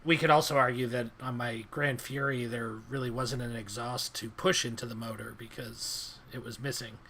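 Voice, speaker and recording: A man's voice: medium pitch, steady tone, moderate pace, bright, forceful tone, slight background noise. A man co-hosting a casual podcast, telling a story in a laid-back way and laughing often. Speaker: podcast co-host. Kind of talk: casual chat.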